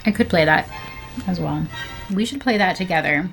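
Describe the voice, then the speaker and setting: A woman's voice: on the low side, animated, moderate pace, vocal fry, fairly bright tone, soft background music. A woman chatting casually as a guest on a live stream. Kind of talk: casual chat.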